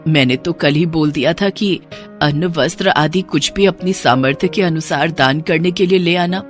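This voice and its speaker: Woman playing a pious, measured older mother-in-law. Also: low-pitched, faint music behind, natural voice. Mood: mildly reproachful. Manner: measured, earnest, moralizing. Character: pious, gentle, aging Indian mother-in-law, patiently advising.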